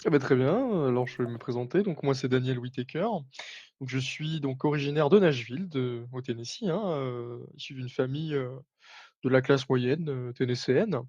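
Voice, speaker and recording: A man voices a former navy commander who went into state politics. He speaks formally yet haltingly, stopping often to gather his words. The recording is muffled and completely dry. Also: medium pitch, darkish tone, no echo, lightly acted. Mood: measured. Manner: formal, hesitant, frequent filler sounds and restarts. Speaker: interview guest. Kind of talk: roleplay interview. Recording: dull dry sound.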